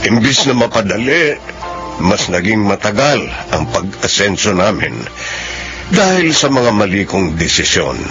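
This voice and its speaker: An actor with a Filipino accent playing a remorseful gambling husband and father in a radio drama, emotional and pleading. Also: lowish voice. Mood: reflective, sober. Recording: dull lo-fi audio, loud music behind.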